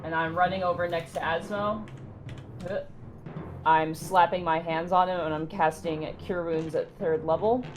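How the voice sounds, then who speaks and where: A woman's voice: fairly low pitch, steady tone, normal speed. A woman talking casually as a player at a tabletop role-playing game. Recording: clear music under the voice. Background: music.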